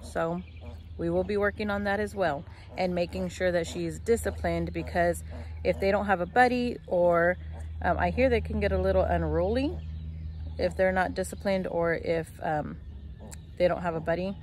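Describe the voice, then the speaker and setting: A woman's voice: on the low side, fairly even intonation, moderate pace. Chatty young woman vlogging outdoors. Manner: chatty, explaining with fillers and restarts. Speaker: homestead vlogger. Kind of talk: casual vlog talk to camera. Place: outdoors by a shed in a fenced yard. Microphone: phone held at arm's length.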